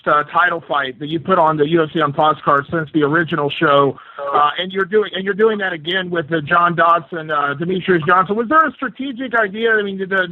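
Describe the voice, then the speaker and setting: Man, high-pitched, sports reporter, wordy hesitant questions, dry narrow phone sound. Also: no echo. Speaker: sports journalist. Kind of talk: asking press conference questions. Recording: dry, narrow telephone conference line.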